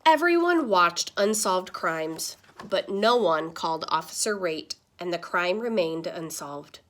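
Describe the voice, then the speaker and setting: Woman, teacher reading aloud, very lively intonation, dramatic pauses, stressed key words, children's storybook style. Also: on the low side.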